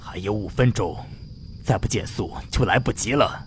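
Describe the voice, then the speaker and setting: A man playing an anxious, excitable spaceship navigator in a science fiction radio drama. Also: on the low side, normal speed, a little hiss or hum, natural voice. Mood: alarmed, urgent.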